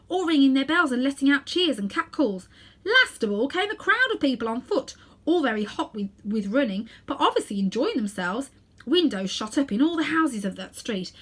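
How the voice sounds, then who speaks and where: A woman narrates a children's story with great expression. Her voice is fairly high and bright, and it rises and falls in a very lively way. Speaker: storybook reader.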